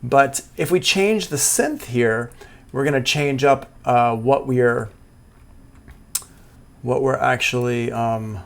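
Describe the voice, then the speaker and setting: A man giving a casual, improvised live software tutorial as a music production instructor, thinking aloud hesitantly as he works. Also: mid-range voice, slow pace, creaky, long pauses. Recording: music playing behind the voice.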